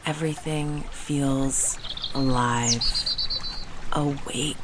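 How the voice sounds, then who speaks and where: A woman leading a soothing guided meditation in a hushed, gentle way with deliberate pauses and soft phrase endings. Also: extremely low pitch, fairly even intonation, very noisy.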